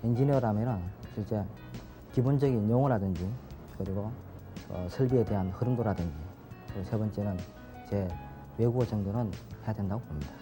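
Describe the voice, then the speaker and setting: Male engineer interviewee, lower register, halting short phrases, music playing underneath. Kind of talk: interview advice.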